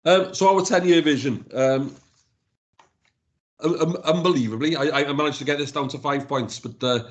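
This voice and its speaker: Halting man presenting. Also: a little high. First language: Welsh. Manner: halting, many repeated words and restarts. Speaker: council education officer. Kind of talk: presenting slides to a council panel. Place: council meeting room.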